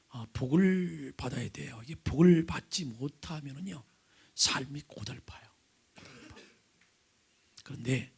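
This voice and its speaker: A middle-aged male pastor preaching with a hoarse, raspy voice from vocal nodules, often sinking to a breathy near-whisper and asking the congregation questions. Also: medium pitch. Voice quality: raspy, gravelly. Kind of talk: sermon with opening prayer. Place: church sanctuary, at the pulpit.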